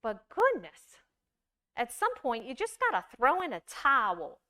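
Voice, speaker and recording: A woman giving a scripted storytelling performance, with deliberate, measured phrasing and dramatic pauses. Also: a little high, wildly swooping pitch, pushed and bright. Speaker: monologue performer.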